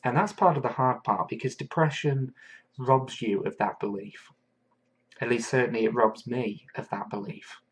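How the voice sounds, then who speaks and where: A man from England hosting a solo podcast, talking in an earnest, thoughtful way and pausing often with fillers. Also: mid-range voice, breathy edge. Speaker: podcast host. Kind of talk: reflective solo podcast monologue.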